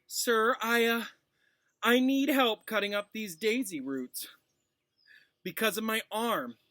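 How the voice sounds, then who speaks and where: A man's voice: high-pitched, slow, pushed and bright, no room sound, studio quality, pitched above the performer's own voice, exaggerated voice. A man voicing a smug, spoiled schoolboy bully with a drawling, mock-pitiful delivery in a storybook reading. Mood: whiny, wheedling.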